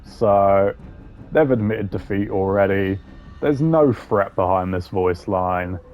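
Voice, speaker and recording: A man with a lowish voice presents casual gaming commentary as a video host. He talks very slowly, with a dry, sardonic manner and the odd swear word. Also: muffled recording. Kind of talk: casual tier-list commentary.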